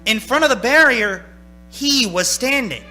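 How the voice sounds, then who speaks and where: A man's voice: very high voice, expressive, unhurried, pushed and bright, exaggerated voice. An actor playing a haunted, anxious young man in a radio play, dramatic and nervously stammering. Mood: haunted, brooding. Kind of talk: radio play acting, narrating and in scenes. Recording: stage radio play with music.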